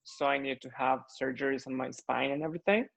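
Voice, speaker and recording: A young man from Mexico with a Mexican accent, speaking English as a second language in an earnest interview chat, often pausing with fillers to find his words. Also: mid-range voice, fairly even intonation, gravelly, poor audio. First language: Spanish. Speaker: floral designer guest.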